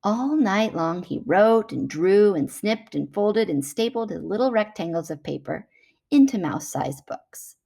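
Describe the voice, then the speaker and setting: A woman reading a children's picture book aloud in an animated storytelling manner. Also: mid-range voice, unhurried, gravelly.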